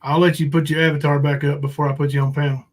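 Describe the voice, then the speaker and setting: A man with a fairly high-pitched voice hosts a livestream panel. He talks casually, in a folksy, rambling manner. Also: level tone, fast. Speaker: live panel stream host.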